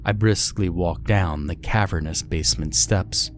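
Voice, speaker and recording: A man's voice: low voice, a little slow, faint music behind. A man reading a scripted first-person horror story as its narrator.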